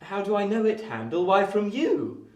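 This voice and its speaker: An actor playing a genial, frank young Victorian gentleman, earnest and playfully teasing in an audio drama. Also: high-pitched, sing-song, moderate pace. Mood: playful insistence. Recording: roomy, echoing sound.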